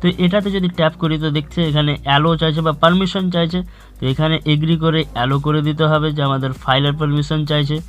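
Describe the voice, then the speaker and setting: A man's voice: fairly high pitch, fairly bright tone. A man hosting a tech channel, narrating a tutorial and addressing viewers directly as friends in an instructional way. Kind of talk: tutorial narration for an online video. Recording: music bed under the voice. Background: background music.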